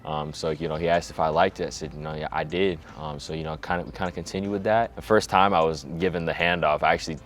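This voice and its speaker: Very deep-voiced teenage boy, reflective. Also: steady tone, fast, hardly any pauses, slight background noise. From Hawaii. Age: teens. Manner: reflective, many "you know" fillers. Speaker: high school quarterback. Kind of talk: documentary interview.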